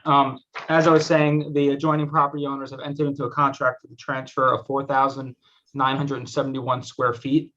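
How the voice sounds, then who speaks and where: A man's voice: medium pitch, level tone, a little fast, a bit bright. A man speaking as an attorney, giving a polite, semi-formal explanatory presentation over a video call. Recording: slightly dull video-call audio.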